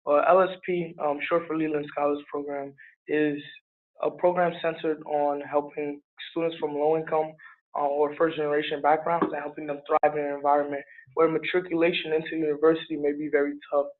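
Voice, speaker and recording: A young man speaks in a fairly high voice for a man. His delivery is very even, with little melody. He answers casually and haltingly, piecing his phrases together as a student on a panel. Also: narrow, tinny audio. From born in Liberia, moved to Harlem, New York. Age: around 20.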